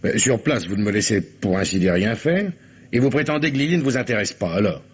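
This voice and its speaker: A man's voice: fairly low pitch, lively intonation, fairly quick, breathy edge. An actor playing a deferential but discontented undercover barman, hesitant and questioning, in a radio crime drama. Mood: frustrated. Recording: dull vintage radio recording.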